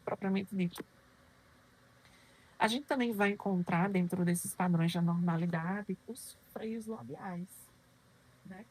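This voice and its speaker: A woman's voice: medium pitch, a little mellow, husky, rough recording. A woman lecturing on children's dentistry, explaining terms patiently and asking questions she then answers herself. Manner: didactic, poses questions and answers them, frequent "né?".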